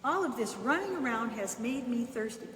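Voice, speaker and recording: A woman reading a children's bedtime story aloud in the first person, unhurried, pausing between short phrases. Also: on the high side, expressive, a bit bright. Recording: echoey reverberant room, distant mic, faint noise.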